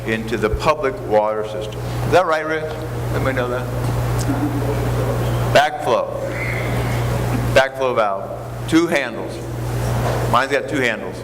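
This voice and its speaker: A man's voice: medium pitch, a little slow. A man preaching a casual sermon, folksy and self-deprecating, with rambling asides. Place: church sanctuary. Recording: reverberant church with background hum.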